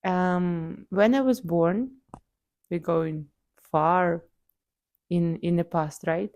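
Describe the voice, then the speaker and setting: A woman's voice: fairly low pitch, steady tone, very slow, a little mellow, no echo. A young adult woman with a Russian accent, telling her personal story in a casual video diary, full of fillers. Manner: conversational, many fillers like "like" and "um". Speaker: vlogger, psychologist.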